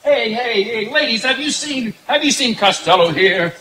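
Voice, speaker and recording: A man's voice: very high-pitched, expressive, normal speed, a crowd in the background. A man playing the bossy, exasperated straight man of an old-time radio comedy duo, insistent and blustery. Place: outdoor night stage in woods, far camera. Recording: live outdoor stage with background noise.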